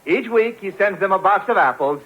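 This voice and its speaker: A male radio announcer with a high-set voice and a formal, polished 1940s delivery. He reads scripted copy, and the old broadcast recording sounds muffled. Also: lively intonation, brisk.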